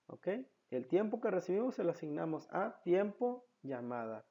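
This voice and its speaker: Male tutorial instructor, slightly high voice, didactic question-and-answer delivery, muffled lo-fi sound. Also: very animated, normal speed, gravelly, warm tone. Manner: didactic, poses questions and answers them, checks with "ok". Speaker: programming instructor. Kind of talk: step-by-step screen tutorial narration.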